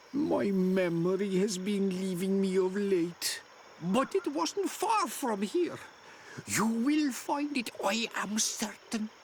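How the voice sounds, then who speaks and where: A slow, cryptic old hermit woman. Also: on the high side, slight background noise. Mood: musing. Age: older. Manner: slow, cryptic, musing, halting. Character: enigmatic forgetful old island hermit woman of the marsh. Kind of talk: video game character acting.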